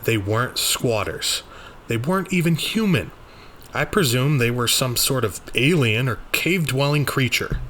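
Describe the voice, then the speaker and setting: A man's voice: medium pitch, lively intonation, normal speed, a bit bright, slight background noise, pitched above the performer's own voice, natural voice. A man playing an earnest, pedantic occult investigator, narrating his journal in the first person. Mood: exasperated. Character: earnest, exasperated, bookish male occult investigator of 1920s.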